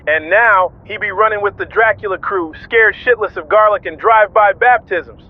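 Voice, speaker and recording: A man's voice: sits high, normal speed, close to an everyday voice. A man playing a swaggering, sentimental pirate radio DJ who talks in melodramatic street slang. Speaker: radio DJ host. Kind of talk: slangy improvised-sounding radio monologue. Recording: phone-like radio band, music behind. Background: music and vehicle noise.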